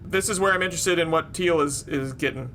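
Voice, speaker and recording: A man's voice: a little high, brisk, sharp tone, a little hiss or hum. A man playing a tabletop role-playing game, talking casually and asking questions as his character.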